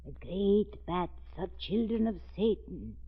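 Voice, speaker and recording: A woman's voice: mid-range voice, very animated, slow pace. An actress playing a superstitious, foreboding Croatian servant woman, grave and dramatic in 1930s radio drama style. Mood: grim. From Croatia, as the character. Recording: tinny, echoing old radio recording.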